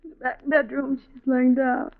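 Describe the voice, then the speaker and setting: An actress playing a distraught, strained wife in a 1950s radio drama. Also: female voice, high voice, level tone, normal speed. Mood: weary, bitter. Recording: tinny, noisy old radio recording.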